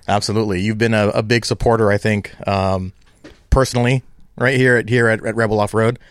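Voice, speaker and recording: A man's voice: fairly low pitch, steady tone, a little fast, dry recording. A man co-hosting a podcast, chatting eagerly and laughing along with his guest. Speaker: podcast co-host. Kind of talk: casual chat.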